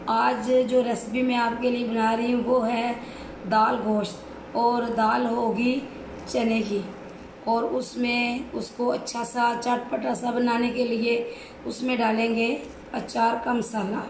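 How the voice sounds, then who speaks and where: Woman cooking-channel host, sore throat, even delivery, roomy kitchen sound. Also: a little high. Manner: plain, step-by-step home cooking talk with pious phrases. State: sore throat, voice not clear. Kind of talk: casual cooking vlog narration. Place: home kitchen. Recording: echoey kitchen with loud background noise.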